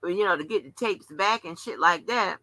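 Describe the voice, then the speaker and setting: A woman's voice: fairly low pitch, normal speed, sharp tone, no room sound, rough recording. A woman in her seventies hosting a casual late-night livestream, talking in a rambling, chatty way with salty slang. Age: 70s. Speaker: livestream host.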